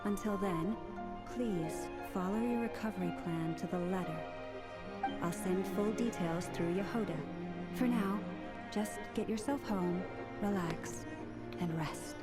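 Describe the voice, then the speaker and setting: Actress as a gracious, measured healer over loud music. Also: female voice, mid-range voice, lightly acted. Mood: caring, earnest. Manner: measured, formal, articulate. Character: gracious, caring, businesslike woman healer and leader. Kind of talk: scripted game voice acting.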